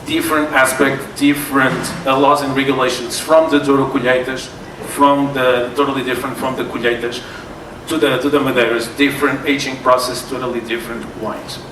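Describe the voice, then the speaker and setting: A man's voice: medium pitch, level tone. Portuguese-accented man lecturing in an echoey hall. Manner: halting, many fillers and restarts. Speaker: sommelier giving a wine seminar. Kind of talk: live seminar presentation. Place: event hall with tasting tables. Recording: echoey room, far from mic, noisy.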